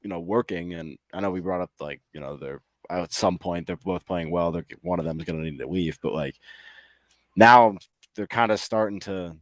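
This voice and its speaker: A man with a deep, low voice talks hockey casually as a podcast co-host. He rambles and hesitates as he thinks aloud, recorded close and dry. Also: normal speed, no room sound. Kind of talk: casual hockey fan chat.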